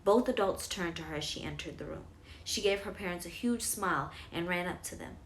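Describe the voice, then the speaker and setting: Woman reading a kids bedtime story, lowish voice, some noise in the background. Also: a little fast, a bit bright, poor audio. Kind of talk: narrating a children's bedtime story.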